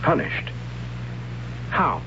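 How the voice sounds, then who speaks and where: An actor playing the high priest's young son, who keeps asking probing, incredulous questions, in a 1970s radio drama. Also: male voice, extremely slow. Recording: dull lo-fi old radio broadcast with noise.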